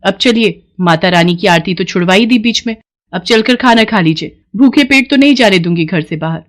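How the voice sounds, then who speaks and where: A woman voicing a pious, sharp-tongued village wife and mother in a dramatized moral story, emotional in her delivery. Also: mid-range voice, moderate pace, lightly acted. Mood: scolding.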